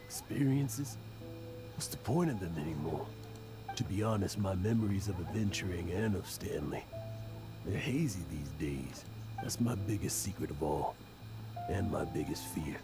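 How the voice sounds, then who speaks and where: An actor playing a weary, guilt-ridden old adventurer in a video game, with gravelly, halting, emotional delivery. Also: male voice, deep voice, animated. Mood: weary regret. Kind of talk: dramatic video game voice acting. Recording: game music under the voice.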